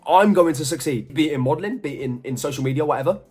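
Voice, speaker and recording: A man's voice: fairly high pitch, lively intonation, rapid-fire, darkish tone, breathy edge, soft background music. A man, chatting through a casual Q&A vlog with jokey, self-mocking asides. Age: 20s. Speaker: model and YouTuber.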